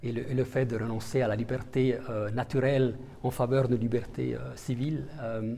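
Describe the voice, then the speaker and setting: Hesitant diplomat, mellow voice. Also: male voice, mid-range voice, little pitch movement, a little fast, creaky, slight background noise. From Italian-speaking Switzerland. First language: Italian. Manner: hesitant, many fillers and restarts. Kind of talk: interview answers.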